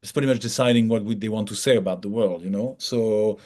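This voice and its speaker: A man from France speaks English as a second language in a relaxed interview setting. His pitch is middling, and he explains things colloquially, often voicing little hypothetical remarks. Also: fast. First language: French. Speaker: filmmaker being interviewed. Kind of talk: casual interview talk.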